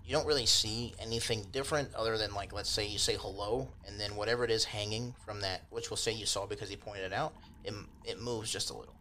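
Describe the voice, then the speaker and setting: A man's voice: lowish voice, steady tone, fast talker, few pauses, bright, forceful tone, a little hiss or hum. A man acting as game master, narrating a tabletop fantasy game in a casual, improvised, conversational way with loose descriptions and asides.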